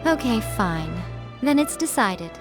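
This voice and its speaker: A woman's voice: fairly high pitch, big pitch swings, a little slow. A woman voicing a sly, haughty shrine priestess in a video game, with an airy, teasing, condescending manner. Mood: sly, decisive. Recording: game music behind the voice.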